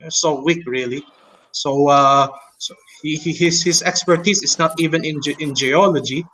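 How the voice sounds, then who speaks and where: A man speaking with a Chinese and Tagalog accent, his voice sitting fairly high. He stops and restarts often, filling gaps with "uh" while laying out his rebuttal on a casual podcast call. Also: moderate pace, bright, forceful tone, slight background noise, low-quality recording. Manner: hesitant, many fillers and restarts, mocking laughs. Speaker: co-host.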